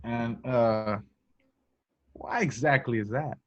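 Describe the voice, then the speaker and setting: A man's voice: mid-range voice, slow pace, highs cut off, lightly acted. Cocky, flirty undercover agent acted by a man. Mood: flirtatious, amused. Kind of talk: amateur table read of a film script. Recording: home remote table-read recording.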